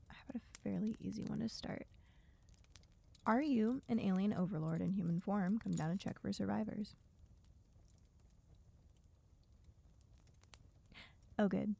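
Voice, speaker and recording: A woman's voice: mid-range voice, a little slow, creaky, pauses often, dark tone, breathy edge, exaggerated voice. A woman playing a wry, bossy, guarded survivor in a dystopian audio roleplay, speaking to a silent listener in a dry, chiding, sardonic way. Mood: probing, teasing.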